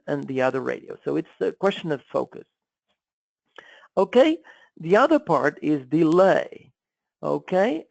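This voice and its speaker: Creaky-voiced man lecturing. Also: a little high, darkish tone, no room sound, rough recording. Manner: halting, repetitive, checks understanding with frequent "okay?". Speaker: presenter explaining a technique. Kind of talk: informal technical lecture.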